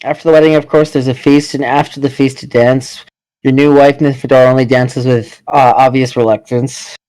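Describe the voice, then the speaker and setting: Game narrator, male, mid-pitched voice, storytelling read-aloud, close dry recording. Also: level tone, brisk, darkish tone, clean tone, dry recording, rough recording. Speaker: narrator and player in a party game.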